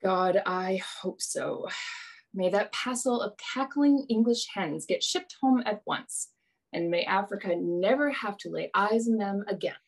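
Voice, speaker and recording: An actress playing a shrewd, world-weary adventurer in a radio play, with a wry, sardonic manner. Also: female voice, medium pitch, unhurried. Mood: exasperated.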